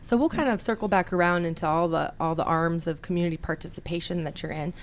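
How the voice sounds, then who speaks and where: A woman's voice: lowish voice, quick pace. A woman hosting a radio talk show in a studio, upbeat and chatty, laughing easily.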